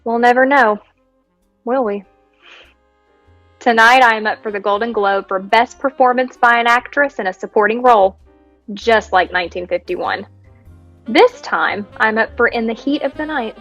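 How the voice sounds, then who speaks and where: A woman's voice: fairly high pitch, moderate pace, long pauses, a bit bright, faint music behind, lightly acted. A woman playing a seasoned Hollywood actress who survived the blacklist, wry and confiding in a staged monologue. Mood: reflective. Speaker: stage actor in a play reading. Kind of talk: theatrical monologue in a staged reading.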